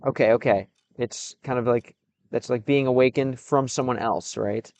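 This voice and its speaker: A young American man chatting with his stream viewers, switching between English and halting Russian, pausing often as he reads the chat. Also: mid-range voice, steady tone, darkish tone, dry recording. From United States.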